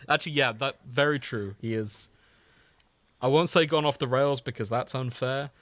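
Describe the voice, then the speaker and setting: A man's voice: mid-range voice, normal speed, fairly bright tone, dry recording. Casual male podcast co-host, dry close sound.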